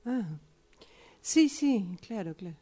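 Guarded woman played by a workshop actress, slow and mellow, halting, repeats herself, improv radio play. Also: medium pitch, expressive. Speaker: radio drama workshop participant.

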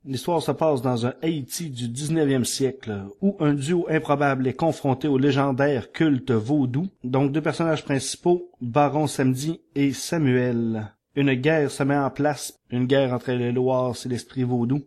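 A man presenting a podcast news segment, rattling off a list in a quick, chatty way with frequent fillers. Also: medium pitch, steady tone, darkish tone. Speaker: podcast columnist.

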